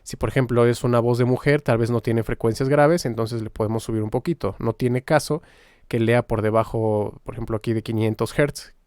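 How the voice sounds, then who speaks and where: A man giving an informal, explanatory software tutorial, pausing now and then as he demonstrates. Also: medium pitch, fairly even intonation, smooth, no echo, studio quality. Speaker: music software tutorial instructor.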